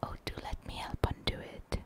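A woman's voice: moderate pace, natural voice. A woman voicing a polite, earnest young storybook girl in a whisper. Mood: humble, pleading. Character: humble, eager, lonely young storybook girl.

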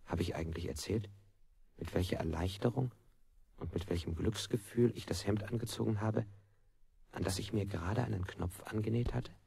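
An actor playing a bound prisoner under interrogation, reciting his account deliberately in a literary 1960s radio play style. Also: lowish voice, dark tone, dry recording, exaggerated voice. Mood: measured recounting. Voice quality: husky, creaky.

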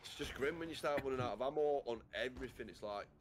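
A man's voice: medium pitch, fast talker. A man chatting casually with friends while playing a co-op shooter. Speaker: co-op player. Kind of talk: casual gaming banter.

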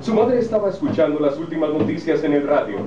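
Guy reading a radio play script, expressive, kinda muffled and roomy. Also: on the high side, fast talker. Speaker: radio drama narrator. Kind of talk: scripted story narration, read aloud. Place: TV studio rehearsal set, indoors. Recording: echoey distant camcorder sound, muffled, noisy.

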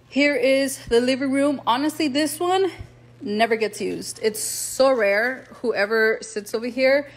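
Chatty woman giving a house tour. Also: fairly high pitch. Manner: chatty, rambling with fillers. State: out of breath. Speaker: home vlogger. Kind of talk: casual home tour vlog. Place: two-story house indoors, then garage. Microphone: phone camera held in hand.

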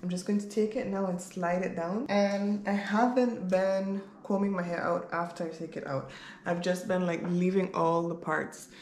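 Casting: woman, 30s, lowish voice, candid vlog chat, rambling delivery, roomy home recording with background noise. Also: steady tone, normal speed, darkish tone. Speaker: vlogger. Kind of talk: casual chat to camera. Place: bare-walled room at home, indoors.

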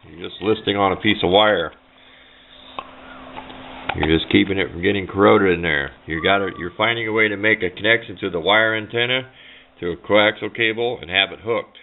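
A man giving a casual hands-on how-to demonstration in a plain-spoken, rambling, offhand way. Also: lowish voice. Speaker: hobbyist presenter. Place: indoors at a workbench. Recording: tinny, band-limited camera microphone sound.

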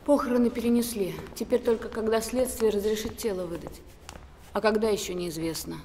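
A woman's voice: mid-range voice, fairly even intonation, a little fast, dark tone, a little hiss or hum, natural voice. An actress playing a downcast, reserved woman in a naturalistic screen drama. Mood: subdued.

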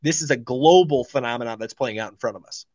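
A man's voice: mid-range voice, quick pace, a little mellow, dry recording. Confident male guest in his 30s, emphatic, dry and slightly dull call audio. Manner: confident, emphatic, repeats words for stress. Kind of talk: casual investing talk. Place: small studio with branded backdrop, indoors. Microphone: studio mic on boom arm, video call.

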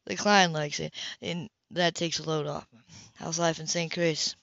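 A woman's voice: deep voice, little pitch movement, a little slow, creaky, fairly bright tone, no echo, voice pushed down, full character voice. A woman reading the part of a confident, flirtatious adman in a novel, with clipped, teasing phrasing. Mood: breezy, confident. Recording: muffled lo-fi home recording.

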